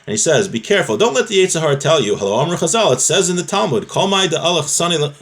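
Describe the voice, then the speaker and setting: A man's voice: a little high, expressive, fast, a bit bright. A man giving an informal religious lesson, reading out a text and explaining it conversationally, often repeating key phrases. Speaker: religious teacher, rabbi.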